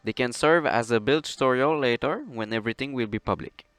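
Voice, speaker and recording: A man with a French accent narrates a scripted tutorial. His voice is of average pitch, smooth and clearly formed, though he is a little off with flu. It is recorded close and dry, with hardly any room on it. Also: brisk, a bit bright, dry recording. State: sick with flu, slightly odd voice. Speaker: presenter of a 3D printer build series.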